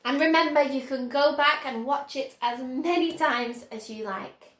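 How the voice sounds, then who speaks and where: A woman reading a children's story aloud with expressive storybook narration. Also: sits high, a little slow. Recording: roomy, echoing indoor sound.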